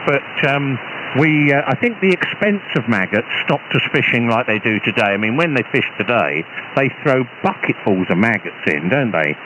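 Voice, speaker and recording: A British man, an amateur radio operator, chatting casually over a shortwave radio link in a halting, hesitant way with repeated false starts. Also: mid-range voice, very animated. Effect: radio transmission. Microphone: radio transceiver, received over shortwave. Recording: muffled, noisy shortwave ham radio signal.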